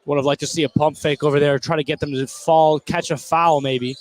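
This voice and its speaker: Male color commentator, slightly high-pitched, conversational and slangy, analyzing basketball casually. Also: steady tone, fairly quick, low-quality recording.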